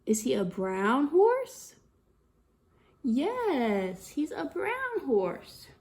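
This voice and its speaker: Slow, sing-song, high-pitched woman reading to children. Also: wildly swooping pitch, a little mellow. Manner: sing-song, slow and deliberate, with exaggerated expression and frequent questions. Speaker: children's storytime reader and teacher. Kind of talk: interactive read-aloud of a picture book for young children.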